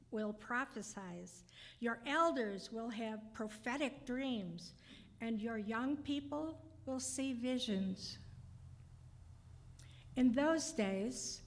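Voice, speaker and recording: A woman reading scripture aloud in a church service, solemn and careful in her phrasing. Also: on the high side. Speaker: scripture reader.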